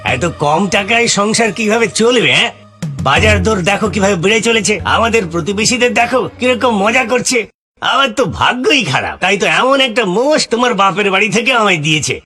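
Man voicing high, sharp grumbling farmer husband. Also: lively intonation, normal speed, faint music behind. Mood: irritated. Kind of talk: cartoon voice acting.

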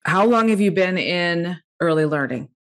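A woman's voice: fairly low pitch, animated, normal speed. A middle-aged woman hosting an upbeat podcast interview. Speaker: podcast host. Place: indoor room at home or office, video call. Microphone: desk microphone with headset.

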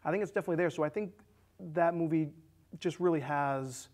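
Higher-voiced man in a casual film discussion. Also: brisk, a little mellow. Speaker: discussion panelist. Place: small indoor studio set with curtains. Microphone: lapel mic.

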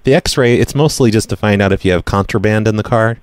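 A man making a casual prank phone call in a deadpan, hesitant manner, rambling and repeating himself as he goes. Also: fairly low pitch, a little fast, no echo. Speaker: prank caller, show host.

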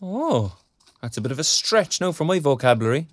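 An actor playing a frank, sardonic young Irish graduate in an audio drama, speaking colloquially. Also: male voice, a little high, very animated, fairly quick, no room sound. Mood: wry, teasing. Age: young adult. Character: young underemployed arts graduate, frank and wry. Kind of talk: naturalistic audio drama dialogue.

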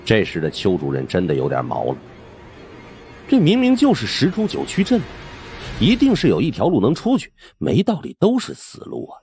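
A man's voice: mid-range voice, huge pitch swings, moderate pace, gravelly, warm tone, slight background noise. A man narrating a supernatural suspense audiobook in a theatrical, suspenseful way. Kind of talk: dramatic scripted storytelling of a supernatural suspense novel.

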